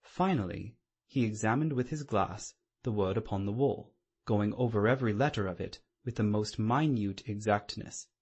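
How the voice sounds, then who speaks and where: Casting: male storyteller, lowish pitch, slightly husky, for scripted classic-novel narration. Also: normal speed, a little mellow. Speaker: audiobook narrator.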